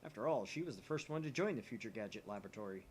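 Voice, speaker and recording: A man reading a visual novel aloud in theatrical, expressive narration. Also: fairly low pitch, rapid-fire, a little hiss or hum. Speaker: gaming channel host.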